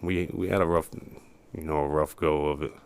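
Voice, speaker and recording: Male interview guest, early forties, very deep, smooth, halting, plain-spoken. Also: fairly even intonation, no echo. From Baltimore area, United States. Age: early 40s. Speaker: podcast guest, peer support specialist. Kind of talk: personal story in an interview.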